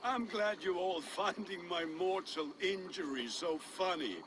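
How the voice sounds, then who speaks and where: A man's voice: sits high, measured pace. A man playing a sarcastic wounded medieval man in a game, in pain, with water noise behind him. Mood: pained, sardonic. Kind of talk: video game cutscene acting.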